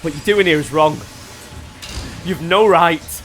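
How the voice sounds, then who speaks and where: A man's voice: fairly high pitch, a little slow, fairly bright tone, soft background music. A man playing a defiant, outraged captive in an audio drama, speaking with impassioned indignation. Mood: righteous indignation. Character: defiant, outraged male captive confronting a villain. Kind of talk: scripted audio drama acting.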